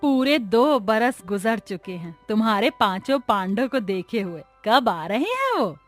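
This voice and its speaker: A woman's voice: on the high side, very animated, unhurried, soft background music. A woman voicing an exasperated, scolding village mother-in-law with a dramatic, colloquial, complaining manner in a cartoon family story. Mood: wistful, gossipy. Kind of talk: Hindi animated family comedy story.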